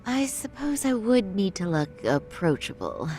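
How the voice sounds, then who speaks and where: A woman voicing a reserved, dryly polite young lawyer in a video game English dub, measured and understated. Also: mid-range voice, very animated, darkish tone, soft background music. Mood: reluctant.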